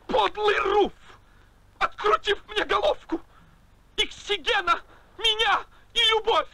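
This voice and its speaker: Very high, bright little cartoon bird. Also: female voice, a little hiss or hum, exaggerated voice. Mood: indignant. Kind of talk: theatrical verse recitation in animated film.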